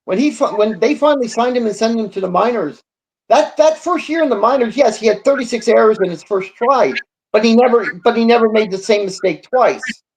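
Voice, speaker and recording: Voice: mid-range voice, expressive, fairly quick, slightly rough. A person in a casual baseball talk show discussion, agreeable and reflective, repeating points for emphasis, on a noisy recording.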